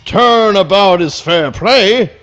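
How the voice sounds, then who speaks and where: Man playing a pompous, high-pitched bloodhound. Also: expressive, takes it slowly, a bit bright, dull audio, soft background music, heavily acted. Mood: smug, courtly. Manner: grandiloquent, theatrical, flowery formal diction. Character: pompous, clumsy male bloodhound, self-styled faithful companion. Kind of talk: live comic radio play reading.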